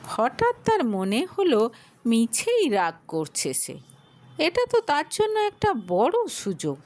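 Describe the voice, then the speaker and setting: A woman with a Bengali accent reads a romantic story aloud, taking her time over every phrase. Her voice sits fairly high and swings widely in pitch. The recording is dry and close, with no room sound. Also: no room sound. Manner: slow, expressive storytelling with lively pitch. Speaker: narrator. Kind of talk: scripted audio story narration.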